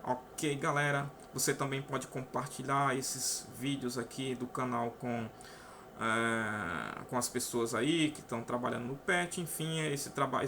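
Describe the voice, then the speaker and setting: A man's voice: medium pitch, bright, forceful tone, slight background noise. A Brazilian man teaching a math lesson to students, walking through each step and asking "né?" often. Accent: Brazilian Portuguese. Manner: explains step by step, often adds "né?". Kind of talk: casual online math lesson.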